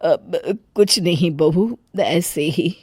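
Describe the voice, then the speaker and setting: A woman's voice: lowish voice, extremely slow, mellow, breathy edge, no echo, clean studio sound, full character voice. A woman playing a dramatic middle-aged mother-in-law in an acted family story, with an exclamatory, theatrical delivery. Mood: worried, subdued. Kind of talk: acted Hindi family story cartoon dialogue.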